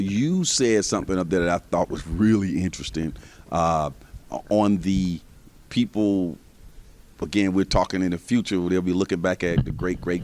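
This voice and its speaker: A man with a fairly low voice moderates a TV panel discussion. He asks questions in an easy, casual and conversational way. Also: slight background noise. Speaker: TV host and moderator.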